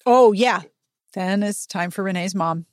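A woman hosting a podcast, giving a chatty, humorous book recap with sarcastic, expressive delivery. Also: medium pitch, measured pace, no echo, clean studio sound. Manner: sarcastic, expressive, acts out dialogue. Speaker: podcast host.

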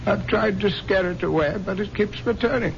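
High, creaky, deferential butler. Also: male voice, moderate pace. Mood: anxious, polite. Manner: formal, polite, servile. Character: deferential, worried household butler. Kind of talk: 1970s radio drama acting. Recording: muffled vintage radio broadcast, faint noise.

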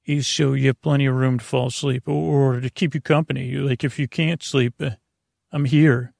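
A man hosting a bedtime podcast, rambling and halting as he loses his thread, with gentle, silly deadpan humor. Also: mid-range voice, vocal fry, no echo, clean studio sound. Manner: meandering, halting, often losing his thread. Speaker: podcast host.

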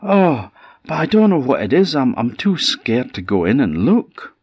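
A man's voice: on the low side, expressive, moderate pace, no room sound, muffled recording. A man voicing a frightened, anxious mother fox in a folk tale, fretting as she speaks. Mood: frightened, fretful. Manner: fretful, worried. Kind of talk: oral folk storytelling.

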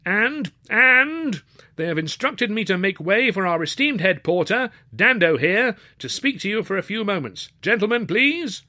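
A man's voice: high voice, expressive, unhurried, bright, forceful tone, pitched above the performer's own voice, heavily acted. A man voicing a hearty master of ceremonies in an audiobook, addressing a room in a formal, florid announcer's manner. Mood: mock apologetic. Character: bellowing, hearty male master of ceremonies at a concert. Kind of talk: audiobook character voice.

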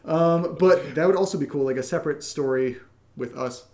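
A man's voice: a little high, normal speed, a little mellow. A man co-hosting a podcast, chatting casually through listener questions with jokey, teasing banter. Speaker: podcast co-host and actor. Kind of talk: casual Q&A chat. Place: indoors in a home.

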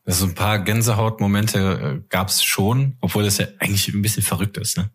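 Male podcast co-host, lowish voice, relaxed chat style, halting as he finds his words. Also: husky. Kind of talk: casual fan podcast chat.

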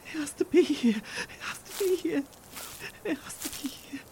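A man's voice: extremely high pitch, animated, normal speed, darkish tone, a little hiss or hum. An actor playing an obsessed man who repeats himself over and over in a daze. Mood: fixated. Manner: fixated, repeating the same words.

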